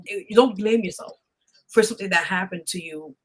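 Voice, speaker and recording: A woman's voice: mid-range voice, brisk, crowd noise, low-quality recording. A woman hosting a podcast in a conversational interview style, often affirming what is said. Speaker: podcast host.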